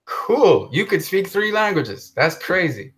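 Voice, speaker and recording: A man's voice: high voice, sing-song, measured pace, a bit bright. Enthusiastic man hosting a film chat. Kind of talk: casual chat about films.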